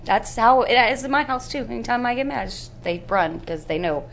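A woman's voice: fairly high pitch, animated, fairly quick, vocal fry, a little hiss or hum. A woman from Texas with a Texan accent, chatting sassily on a podcast and laughing. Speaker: podcast guest. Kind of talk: casual chat.

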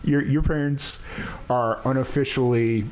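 A man's voice: medium pitch, steady tone, a little slow, a little mellow, slight background noise, rough recording. A man hosting a podcast live stream, talking in a rambling, hesitant, self-deprecating way. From United States. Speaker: podcast host. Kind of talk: casual live-stream chat.

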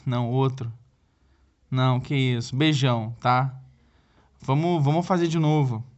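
A man's voice: mid-range voice, measured pace, lightly acted. Needy young Brazilian boyfriend, played by a male actor, hesitant and stammering, comedic audio drama, slightly dull recording. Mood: sheepish. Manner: hesitant, stammering, repeats himself. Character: flustered, needy boyfriend pleading for help by phone. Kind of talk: comedic audio drama, one side of a phone call.

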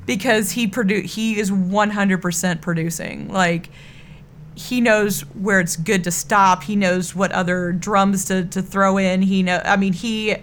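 A woman's voice: medium pitch, steady tone, a little slow, a little hiss or hum. A middle-aged woman with a Texan accent, an earnest, effusive and rambling singer chatting casually as an interview guest. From Texas, USA. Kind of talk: casual radio interview chat.

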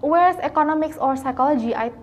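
A woman's voice: sits high, fast, a little hiss or hum. A woman with an Indonesian accent talking earnestly as an interview guest, switching often between two languages in conversation. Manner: earnest, talkative, frequent code-switching. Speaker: interview guest, family business executive.

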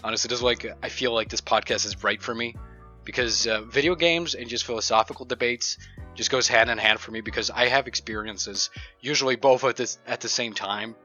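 Blunt, bright-voiced young man from Ukraine. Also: medium pitch, steady tone, fairly quick, lo-fi sound, faint music behind. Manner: blunt, swears freely. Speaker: podcast co-host. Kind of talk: casual chat.

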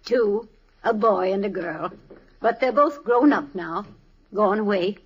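An actress playing a kindly, homespun older storekeeper's wife in an old radio drama, welcoming and wistful. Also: female voice, medium pitch, a little slow, dull audio, close to an everyday voice. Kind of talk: 1950s radio drama acting. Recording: old radio recording with clear noise.

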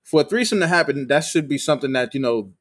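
A man's voice: fairly high pitch, expressive, fast talker, dry recording, clean studio sound. A man in his late thirties talking casually as the host of a podcast. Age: late 30s. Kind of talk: casual chat.

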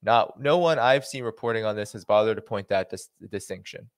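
A man's voice: on the low side, brisk, dry recording. A man with a Boston accent hosting a livestream, reading a policy document aloud and breaking off into dry sarcastic asides. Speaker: host.

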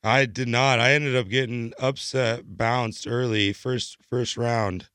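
Hoarse young American man, dry close sound. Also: medium pitch, fairly even intonation, slow pace, fairly bright tone, dry recording. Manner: rambling, slangy. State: hoarse, recovering from illness. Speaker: podcast co-host. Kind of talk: casual chat.

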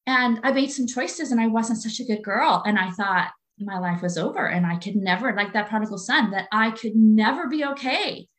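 A woman's voice: fairly high pitch, moderate pace, hardly any pauses, a bit bright. A woman in her late forties talking earnestly and from the heart as a guest in a faith-based conversation, often repeating her phrases. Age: 40s.

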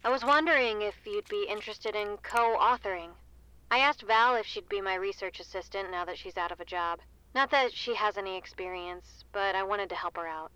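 A woman's voice: medium pitch, normal speed, bright, forceful tone, smooth, lightly acted, rough recording. A woman playing a breezy, businesslike researcher in an audio drama, chatting casually as if leaving a phone message. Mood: casual, friendly.

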